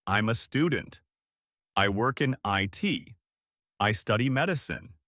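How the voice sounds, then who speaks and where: A man co-hosting a podcast English lesson, explaining example phrases in a clear, upbeat way. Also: on the low side, animated, a little slow, fairly bright tone, dry recording, clean studio sound. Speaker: podcast co-host and English teacher. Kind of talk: scripted English lesson dialogue.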